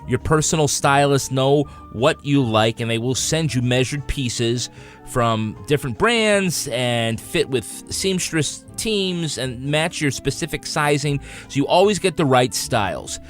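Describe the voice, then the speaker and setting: Casual male podcast host, deeper voice. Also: lively intonation, unhurried, soft background music. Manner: conversational, informal. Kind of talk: casual panel review and host-read ad banter.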